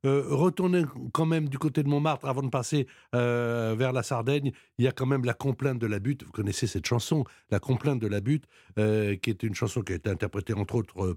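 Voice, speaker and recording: A man hosting a chat and quiz show, speaking in a measured way and putting stress on each answer option. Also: medium pitch, darkish tone, no room sound, studio quality. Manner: measured, plays up quiz options with rising emphasis. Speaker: radio quiz show host. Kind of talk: hosted interview and quiz.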